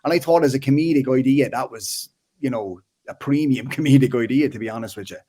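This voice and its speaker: A man in his thirties with a Waterford Irish accent, a filmmaker chatting casually as an interview guest, animated and full of restarts. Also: mid-range voice, brisk, breathy edge. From Ireland. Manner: animated, restarts often, frequent "like" and "you know".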